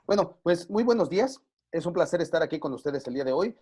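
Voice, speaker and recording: A man's voice: on the high side, expressive, fast talker, a little mellow, slightly rough. A man hosting an online group session as life coach, chatting casually with frequent fillers over a video call.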